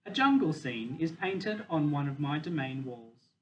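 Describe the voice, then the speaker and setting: A dignified, wistful captive silverback gorilla read by a man tells his story in a measured, deliberate way. The voice sits slightly high and comes from a little way off the mic, with faint noise behind it. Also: expressive, recorded from a distance, dull audio. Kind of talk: children's novel read aloud in first person.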